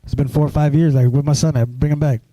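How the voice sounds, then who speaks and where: A man telling his life story as a guest on a live stream, halting and filling gaps with "you know" and "um". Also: on the high side, fairly even intonation, mellow, no echo, poor audio. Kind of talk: personal storytelling in an interview.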